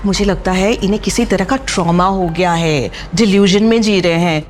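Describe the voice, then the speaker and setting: A woman's voice: lowish voice, normal speed, a little hiss or hum, close to an everyday voice. A woman playing a haughty, boastful middle-aged aunt in an audio drama, with a snide, theatrical, taunting manner. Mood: condescending. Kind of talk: Hindi audio drama acting.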